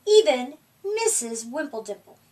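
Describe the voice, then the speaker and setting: A woman reading a children's picture book aloud at a library storytime, with gentle, expressive delivery. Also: high-pitched, unhurried. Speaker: storytime reader. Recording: voice from far off the mic.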